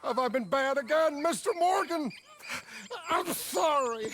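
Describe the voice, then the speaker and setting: A pitiful drunken preacher, slurring in a very high voice that drags and swoops. He is muddled and pious, a western game figure, with faint noise behind him. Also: animated, a little slow, heavily acted. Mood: remorseful, maudlin. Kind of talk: cinematic western game acting.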